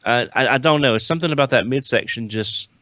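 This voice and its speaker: A man talking casually as a podcast panelist about toys, often restarting his sentences in a halting way. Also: medium pitch, fairly bright tone, no echo. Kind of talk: casual group chat reviewing toys.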